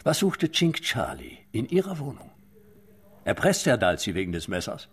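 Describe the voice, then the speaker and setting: Husky, insistent police detective. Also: male voice, medium pitch, wildly swooping pitch, moderate pace, full character voice. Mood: probing, insistent. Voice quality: husky, rough. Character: probing, insistent male police detective interrogator. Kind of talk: German radio crime drama acting.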